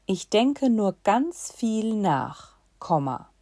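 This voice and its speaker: Very slow, lively-voiced woman dictating. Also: medium pitch, no echo, clean studio sound. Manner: reads in short chunks and says punctuation aloud. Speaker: teacher giving a dictation.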